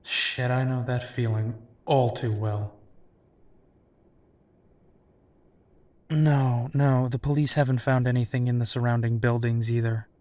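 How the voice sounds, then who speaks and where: A man's voice: mid-range voice, fairly even intonation, normal speed, a little mellow, no echo, close to an everyday voice. A man playing a wry, foul-mouthed werewolf private investigator in a roleplay audio drama, talking casually. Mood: weary, frustrated.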